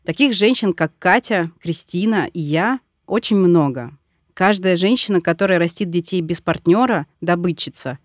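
A woman's voice: fairly low pitch, normal speed, no room sound. A woman in her thirties hosting a podcast, with scripted narration and interview questions, recorded in a studio. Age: 30s.

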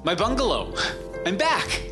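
A man's voice: high voice, huge pitch swings, measured pace, exaggerated voice. An actor playing a disgraced, sardonic male journalist, narrating his own actions in a theatrical monologue. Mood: relieved, sardonic. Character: disgraced male journalist, bitter drinker talking to himself. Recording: loud music and crowd sounds behind.

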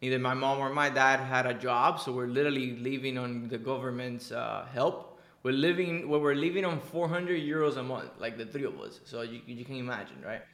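A man's voice: mid-range voice, brisk, a bit bright, roomy sound. A young man from Cuba, a podcast guest, talking casually and animatedly, repeating words for emphasis. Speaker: podcast guest, entrepreneur and coach. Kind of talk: casual interview conversation.

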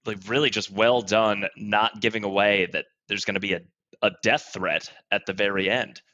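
Low, bright-edged man giving mock-serious critique. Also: steady tone, moderate pace, no echo, lo-fi sound. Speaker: guest critic.

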